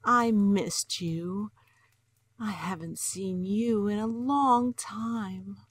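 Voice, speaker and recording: An actress playing a gentle, wistful wife and mother in an audio drama. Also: medium pitch, drawn-out words. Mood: tender. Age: older. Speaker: voice actor.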